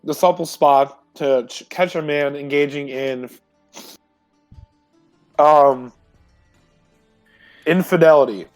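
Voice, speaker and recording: A man informally recapping a previous tabletop roleplay session as a player, in a halting, self-correcting way. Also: fairly high pitch, steady tone, no room sound, poor audio. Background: music.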